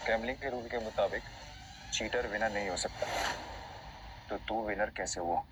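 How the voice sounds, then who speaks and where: A man's voice: fairly low pitch, moderate pace, noisy background, close to an everyday voice. A man playing a cool, defiant gambler hero. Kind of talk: Hindi audio drama acting.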